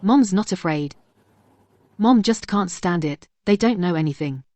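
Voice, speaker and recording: Worried mother, mellow low voice. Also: expressive, a little slow, no echo. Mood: hurt, indignant. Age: middle-aged. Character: worried, protective middle-aged mother, indignant for her daughter. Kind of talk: dubbed melodrama acting.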